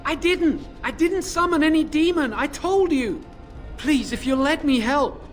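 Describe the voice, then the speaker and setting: A man's voice: very high voice, lively intonation, measured pace, soft background music. A man voicing a remorseful young mage in a video game, apologetic and earnest as he explains a risky ritual. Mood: desperate.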